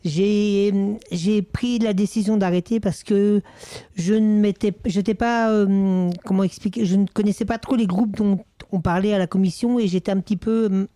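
Hesitant man with a dark, dry voice. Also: high-pitched, steady tone, normal speed, no echo. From Maine-et-Loire, France. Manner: hesitant, many fillers and restarts. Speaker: guest. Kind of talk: casual interview. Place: village bar.

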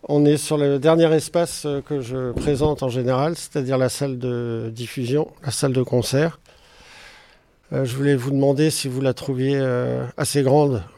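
Male venue stage manager, mellow tone, tour guide. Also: medium pitch, fairly even intonation, normal speed, slight background noise. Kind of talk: explaining on a tour.

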